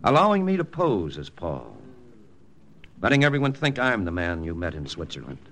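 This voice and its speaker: An actor playing a confident American army intelligence lieutenant in a 1940s radio drama, brisk, persuasive and matter-of-fact. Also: male voice, low voice, wildly swooping pitch, fairly bright tone, exaggerated voice. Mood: persuasive, firm. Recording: old radio broadcast with music.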